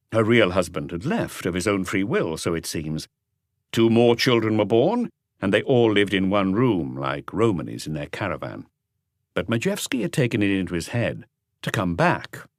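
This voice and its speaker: A man reading a crime novel as an audiobook, in measured, articulate scripted narration. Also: fairly low pitch, no echo, clean studio sound.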